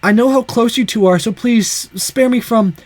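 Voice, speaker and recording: A man voicing a shy, defensive teenage girl with a soft, hesitant manner in a visual novel. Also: high-pitched, lively intonation, unhurried, no room sound. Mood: hurt, hesitant.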